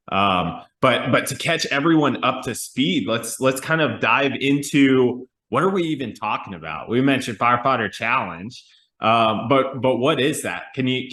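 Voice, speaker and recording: Echoey male podcast host, halting delivery. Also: medium pitch, a bit bright. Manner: halting, rephrases questions as he goes. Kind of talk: conversational podcast interviewing. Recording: roomy, echoey sound.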